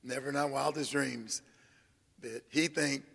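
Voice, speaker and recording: An older man preaching a sermon, telling humorous stories in a folksy, animated way and acting out the voices of people he quotes. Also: medium pitch, unhurried, vocal fry, husky, in a large hall. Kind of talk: sermon storytelling.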